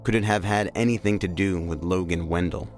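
An actor playing a terse, probing federal investigator with dry, clipped questioning in an audio drama. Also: male voice, deep voice, fairly quick, natural voice. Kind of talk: science fiction audio drama acting.

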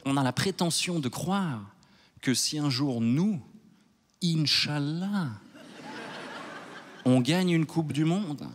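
A man in his thirties from Switzerland doing a stand-up set on a large theater stage with a handheld mic. He talks in a wry, self-mocking way and works up to loud mock outrage. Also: fairly high pitch, slow, long pauses. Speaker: stand-up comedian. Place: large theater stage before a live audience.

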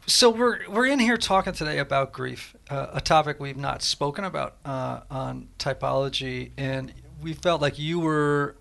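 A man hosting a podcast, asking relaxed, hesitant questions in a casual interview chat. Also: fairly high pitch, normal speed, vocal fry, hardly any pauses. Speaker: podcast host.

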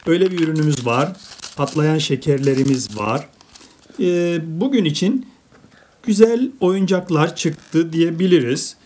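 Low-voiced woman chatting over toys. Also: animated, unhurried, a little mellow, slightly rough. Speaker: parent hosting toy unboxing videos. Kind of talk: casual narration for children, chatting with a child. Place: indoors at a table.

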